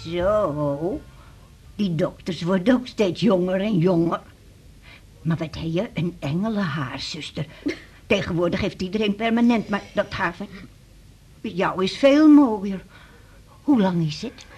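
Husky-voiced, blunt old woman. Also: on the low side, lively intonation, unhurried, long pauses, mellow. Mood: chatty, admiring. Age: elderly. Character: bluntly chatty elderly mother, a patient at home. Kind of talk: radio drama acting.

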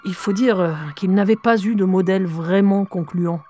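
A woman's voice: medium pitch, normal speed, mellow, faint music behind. An adult woman giving an intimate, first-person scripted narration in French, as if recounting memories.

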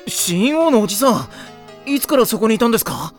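A woman's voice: a little high, sing-song, a little fast, soft background music. A woman playing a kind, easily flustered teenage boy in an anime audio drama, with casual boyish speech. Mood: gentle, earnest.

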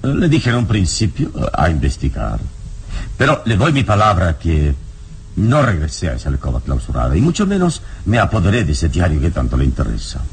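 An actor playing a calm, steadfast adventurer-hero in a vintage radio serial, courteous, measured and firm. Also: male voice, low voice, husky, heavily acted. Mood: firm, dignified. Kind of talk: vintage Spanish-language radio serial acting. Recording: old radio recording with background noise.

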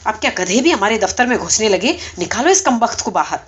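A callous, crafty male clerk from a storybook, acted out by a woman. The delivery is brusque and grumbling with very mobile pitch, on a slightly dull recording. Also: sing-song, natural voice. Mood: angry. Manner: brusque, dismissive, complaining. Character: irritable, callous, sly male municipal clerk.

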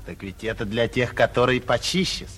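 A man playing a stubborn, unimpressed tavern servant in a 1940s radio play, laconic and slyly servile, with a husky voice. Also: mid-range voice, fairly even intonation, normal speed, fairly bright tone, lightly acted. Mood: sly, indifferent. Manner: laconic, sly, servile on the surface. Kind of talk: 1940s theatrical radio acting.

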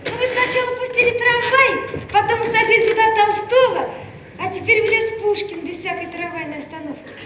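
An actress playing a brisk, precise secretary-typist who questions her boss in an old radio play. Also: very high voice, steady tone. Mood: puzzled. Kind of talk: archival Soviet radio theatre acting. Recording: muffled, echoey, noisy archival radio recording.

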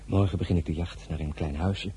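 An actor playing a courtly, confident general in love in a radio drama, speaking with formal gallantry. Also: male voice, sits low, steady tone, fairly quick, mellow, a little hiss or hum, close to an everyday voice. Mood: tender, confident.

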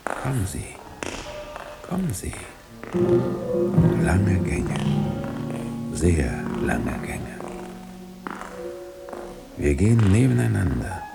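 An actor playing a mysterious, insistent man in hiding who conjures visions in halting, suggestive phrases in a radio play. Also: low-pitched, sing-song, vocal fry, loud music behind the voice, full character voice. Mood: insistent, conjuring. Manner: halting, suggestive phrases, sometimes dropping to whispers.

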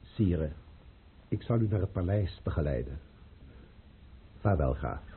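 Earnest courtier: deep, formal, loyal, through narrow-band vintage radio sound. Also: male voice, animated, a little slow, a little hiss or hum. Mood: solemn. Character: loyal courtier officer attending the tsar. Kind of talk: radio drama acting. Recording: muffled, narrow-band old radio play recording.

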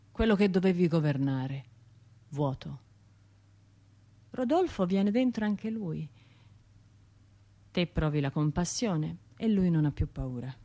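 An actress with a Tuscan accent playing a wounded, wry woman who survived a bombing, in a confiding, halting radio monologue. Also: deep voice, darkish tone. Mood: subdued, wistful. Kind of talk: radio theatre monologue, conversational storytelling.

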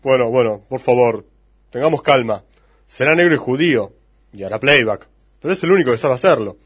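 A man's voice: mid-range voice, animated, fairly quick, husky, no room sound, studio quality. A man with an Argentine accent playing a suspicious, fussy priest in a comic radio sketch. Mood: fussy, exasperated.

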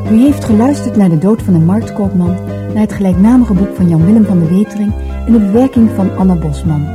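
A woman's voice: medium pitch, brisk. A woman reading scripted closing credits as a radio announcer. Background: music.

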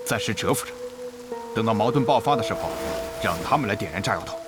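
A man's voice: on the low side, lively intonation, fast talker, over loud music, close to an everyday voice. A man playing a blunt, combative officer of a gamers' guild in an audio drama. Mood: brisk. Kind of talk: Chinese audio drama dialogue.

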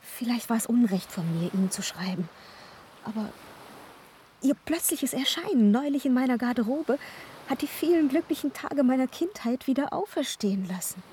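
A woman's voice: fairly high pitch, animated, moderate pace, dark tone, a little hiss or hum, close to an everyday voice. An actress playing a guarded, fragile young woman with a secret, formal and restrained, in a radio play. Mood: hesitant.

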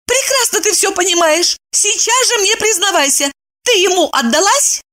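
A woman voicing a melodramatic, indignant young woman in an audiobook, shrill and exclamatory as she scolds her friend. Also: expressive, normal speed, bright, forceful tone, no room sound, studio quality, full character voice. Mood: indignant, demanding.

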